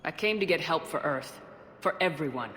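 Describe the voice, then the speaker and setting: Higher-pitched resolute military commander. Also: male voice, lively intonation, pushed and bright, a little hiss or hum. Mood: earnest, resolute. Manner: firm, measured, earnest. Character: resolute, earnest male military commander in science fiction. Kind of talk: video game voice acting.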